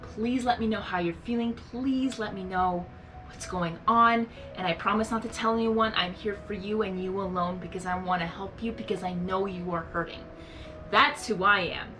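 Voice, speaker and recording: A young woman hosting a reaction video, talking in a rambling, earnest, soft-spoken way with many fillers and restarts. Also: mid-range voice, steady tone, normal speed, soft background music. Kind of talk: casual reflective monologue.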